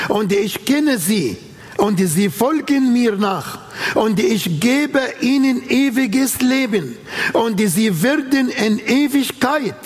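A man's voice: very high-pitched, long reverb tail. A man with an Arabic accent preaching an earnest sermon in a church setting, quoting scripture with emphasis.

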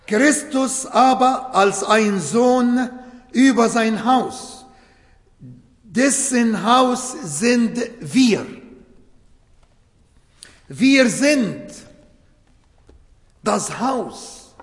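A man whose first language is Arabic, preaching a sermon in slow, emphatic phrases with non-native grammar. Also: very high-pitched, animated. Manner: slow, emphatic phrasing with short broken clauses. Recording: echoey hall.

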